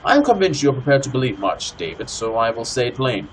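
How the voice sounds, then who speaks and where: A man hosting a co-op gaming session, commenting casually and reading in-game text aloud with deliberate phrasing. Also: mid-range voice, very animated, rough recording. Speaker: host of a co-op let's play. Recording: clear background noise, game ambience.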